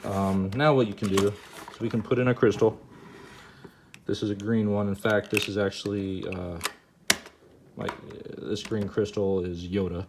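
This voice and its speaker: A man hosting a casual, unscripted demo video, speaking in a halting way and repeating himself. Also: lowish voice, fairly even intonation. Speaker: host of a hobby gadget demonstration video. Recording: noticeable background noise.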